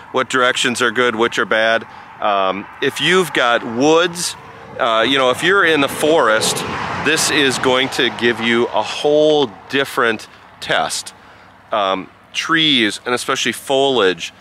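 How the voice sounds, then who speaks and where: A man giving a casual vlog-style review outdoors in a halting, rambling way. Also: mid-range voice, sharp tone, a little hiss or hum. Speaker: product reviewer and amateur radio operator. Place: outdoors by a rural road.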